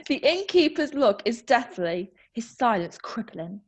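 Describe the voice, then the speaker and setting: A woman's voice: on the high side, very animated, a little slow, husky. A woman reading stage directions aloud in a plain, matter-of-fact way.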